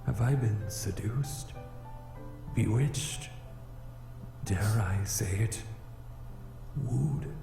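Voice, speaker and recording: A man's voice: lowish voice, very slow, vocal fry, long pauses, full character voice. An echoing voice with music behind it, belonging to a male flame demon. He is proud and solemn, with stiff archaic phrasing that betrays a lovestruck fluster. It suits a visual novel game. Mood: hesitant, smitten.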